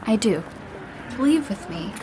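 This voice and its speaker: An actress playing an earnest, hopeful young band member in an audio drama. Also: female voice, medium pitch, lively intonation, a little slow, warm tone, a little hiss or hum, lightly acted, rough recording. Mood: reassuring.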